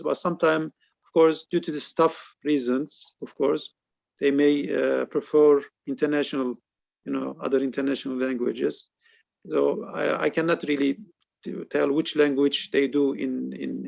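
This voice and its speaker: Gravelly man on a dull video call. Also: fairly high pitch, a little mellow, no room sound. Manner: hesitant, many fillers. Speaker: guest speaker. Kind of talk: answering questions in a webinar. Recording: dull lo-fi online call audio.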